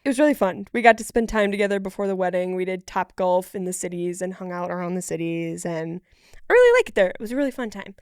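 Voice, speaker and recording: A woman co-hosting a podcast, chatting casually in an informal, expressive way. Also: lowish voice, quick pace, clean tone, no room sound, clean studio sound. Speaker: podcast co-host.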